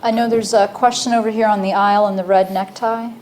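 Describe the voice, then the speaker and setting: A woman moderating a panel, calling on audience members in a brisk, directing way. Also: mid-range voice, fairly even intonation, darkish tone, a little hiss or hum. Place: conference room, on stage.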